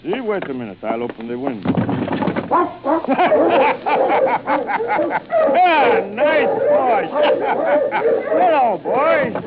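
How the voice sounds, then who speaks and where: An actor playing a suave, cruel aristocratic older general who hunts men, in urbane, theatrical 1940s radio thriller style with savored pauses. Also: male voice, fairly high pitch. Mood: gleeful. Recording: muffled, noisy vintage radio recording.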